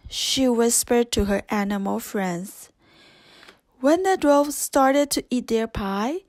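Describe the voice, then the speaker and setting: A woman narrating a scripted children's story with gentle, careful phrasing. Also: on the high side, no echo. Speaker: audiobook narrator.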